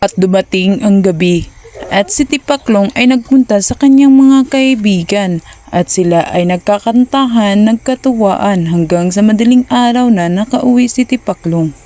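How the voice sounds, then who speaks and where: A woman's voice: medium pitch, moderate pace. A woman narrating a scripted children's fable as a storyteller. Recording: loud music behind the voice. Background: music.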